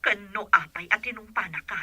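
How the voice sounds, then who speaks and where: An actress plays a worried mother in a radio drama. Her voice is husky yet piercingly bright at medium pitch, with a soft-hearted, sighing manner. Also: fairly quick, no room sound. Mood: worried, tender. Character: worried, loving mother of grown sons.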